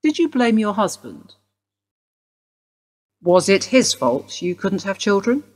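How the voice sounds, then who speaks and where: An actress playing a woman detective who questions a suspect in a brisk, formal way. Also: mid-range voice, very animated, darkish tone. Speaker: police detective. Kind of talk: radio play acting.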